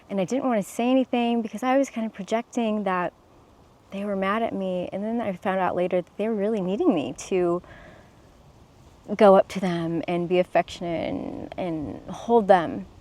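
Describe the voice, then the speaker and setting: A young woman speaking to camera as a self-expression coach, earnest and encouraging, sometimes restarting her words. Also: medium pitch.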